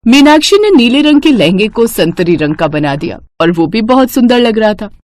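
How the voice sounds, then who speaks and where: A woman reading scripted narration for a cartoon story in an expressive storytelling way. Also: fairly high pitch, normal speed.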